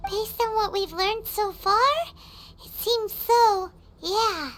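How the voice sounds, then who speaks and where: A woman voicing a small, childlike, curious fairy companion in a video game, with a squeaky, expressive voice. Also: takes it slowly, faint music behind, exaggerated voice. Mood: hesitant. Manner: talks of self in third person, simple and expressive. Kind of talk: cartoonish video game voice acting.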